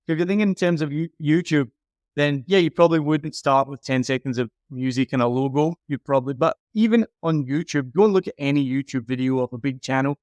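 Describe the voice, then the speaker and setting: Frank man with a clear, dry voice. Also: a little high, fast, darkish tone, dry recording. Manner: frank, emphatic. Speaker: podcast audio consultant and reviewer. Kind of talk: conversational critique and advice.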